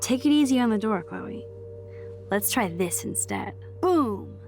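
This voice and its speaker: A woman's voice: a little high, animated, measured pace, darkish tone. Wry, nerdy American teenage girl. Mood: urgent, excited. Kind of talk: video game voice acting. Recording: music behind the voice.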